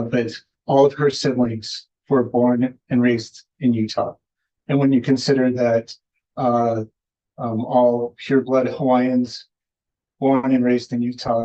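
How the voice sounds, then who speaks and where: Hesitant man, dark muffled tone. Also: mid-range voice. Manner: hesitant, many fillers and restarts. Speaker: panelist, Iosepa descendant. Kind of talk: personal storytelling at a community panel.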